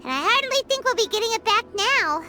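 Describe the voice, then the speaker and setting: A woman voicing a tiny, excitable, childlike fairy companion in a video game, blunt and easily exasperated, with a squeaky voice. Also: a little fast, exaggerated voice. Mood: resigned. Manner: exclamatory, refers to herself by name. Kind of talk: animated video game character dialogue. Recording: loud music behind the voice. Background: game music.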